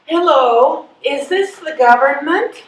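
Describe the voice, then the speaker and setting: Stage role: wry, beleaguered old lady played by a woman, high voice, unhurried, distant echoey pickup. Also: expressive. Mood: worried, weary. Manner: dry, sardonic, plain-spoken. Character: exasperated, wry old lady needing help. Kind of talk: readers theatre stage performance. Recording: echoey hall, distant microphone.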